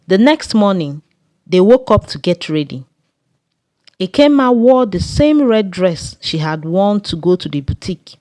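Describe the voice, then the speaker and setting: A woman with a Nigerian accent narrating a scripted folktale in a measured storytelling way. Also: medium pitch, darkish tone, dry recording.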